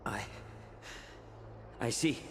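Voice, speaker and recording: A man's voice: drawn-out words, heavy background noise. An actor playing an anxious, devoted male healer in a video game, with long reverb around the voice. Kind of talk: dramatic video game voice acting. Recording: long cavernous reverb, music.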